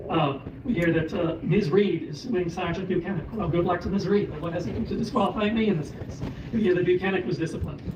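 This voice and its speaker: A hoarse man makes a formal argument before a judge, pausing often to hesitate and clear his throat. His voice reaches the mic from a distance and echoes around the courtroom, with steady background noise under it. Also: high voice. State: losing his voice. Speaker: special prosecutor arguing in court.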